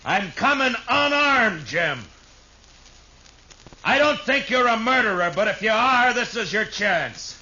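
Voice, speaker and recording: A man playing a resolute frontier lawman calling out firmly and deliberately in a 1950s radio western. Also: high voice, expressive, heavily acted. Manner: loud, firm, deliberate calling. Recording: dull lo-fi old radio recording.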